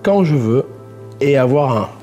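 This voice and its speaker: A man's voice: mid-range voice, lively intonation, unhurried, dark tone, faint music behind. A man in his forties giving a promotional testimonial about his business. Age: 40s.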